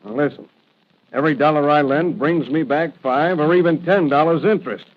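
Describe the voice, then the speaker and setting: A man's voice: fairly high pitch, a little fast, close to an everyday voice. An actor playing a callous, menacing loan shark with sneering, slangy tough-guy talk in 1940s radio style. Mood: menacing, impatient. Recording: muffled, hissy vintage radio recording.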